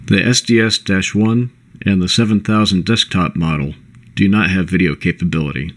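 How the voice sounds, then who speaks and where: A man reading a scripted corporate product presentation in a measured, instructional way. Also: deep voice, fairly bright tone. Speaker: presenter of a company product overview.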